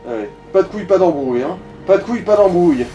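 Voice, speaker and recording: Mellow-voiced man coaching from an echoey room. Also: high-pitched, animated, fast talker. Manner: slangy, crude, coaching. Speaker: gaming coach and co-commentator. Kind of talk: casual Let's Play commentary. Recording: roomy echo, distant mic, music. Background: game music.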